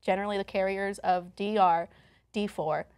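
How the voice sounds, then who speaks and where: A woman's voice: medium pitch, fairly even intonation, normal speed, a little mellow, smooth. A young woman presenting conversationally from notes as co-host of a talk show, recorded in a studio. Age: young adult. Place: TV-style studio, indoors.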